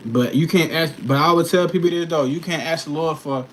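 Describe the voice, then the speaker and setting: A man's voice: a little high, a little fast, fairly bright tone, slight background noise, rough recording. A man in his early twenties in a casual livestream chat, answering questions in a slangy, emphatic way and often checking that listeners follow. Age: early 20s. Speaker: custom shoe artist answering viewer questions.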